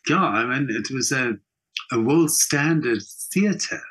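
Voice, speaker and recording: A middle-aged South African man with a husky voice, talking reflectively in an interview, emphatic, with halting repeats and pauses. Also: medium pitch, animated, fairly bright tone. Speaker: actor and singer being interviewed.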